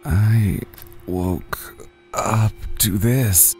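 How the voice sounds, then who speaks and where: A man acts out a brooding, devout forest druid in a fantasy audio drama. His voice is low and soft-edged, and he talks slowly and hesitantly, like a gentle, worried husband. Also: faint music behind. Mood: worried, subdued.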